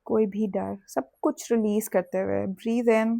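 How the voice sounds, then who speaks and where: Very slow Indian woman guiding sleep hypnosis. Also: a little high, fairly even intonation, creaky, mellow, no room sound, studio quality. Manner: slow, soothing, deliberate with long pauses. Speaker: hypnosis and meditation guide. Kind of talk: guided sleep hypnosis with body scan.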